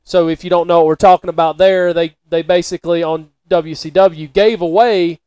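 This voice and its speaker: A man co-hosting a podcast in casual banter, talking in an animated, halting way and often repeating himself. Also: a little high, clean tone, dry recording. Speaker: podcast co-host.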